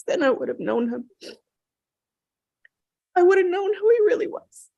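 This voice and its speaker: A woman playing a grieving, guilt-ridden mother with halting, anguished delivery in a dramatic script reading. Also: very high voice, very animated, gravelly, a little mellow, lo-fi sound, full character voice. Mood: anguished, regretful.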